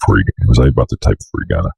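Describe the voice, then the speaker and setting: A young man giving a casual, offhand screencast tutorial with some swearing, in a very deep, fry-laden voice close to a desk mic. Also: rapid-fire, dark tone. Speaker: tutorial host. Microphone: close desk microphone on an arm.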